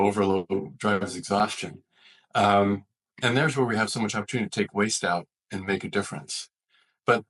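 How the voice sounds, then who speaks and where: A man's voice: lowish voice, fairly bright tone, slightly rough, poor audio. A man, an expert guest in an interview, explaining his points earnestly and methodically. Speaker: physician, author and leadership expert interviewed as guest.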